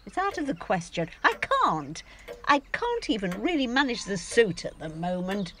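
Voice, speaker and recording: A woman's voice: medium pitch, very animated, normal speed, background noise, lightly acted. An actress playing a vain, fussing middle-aged mother in an audio drama, theatrical and dismissive. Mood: defensive. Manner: theatrical, imperious.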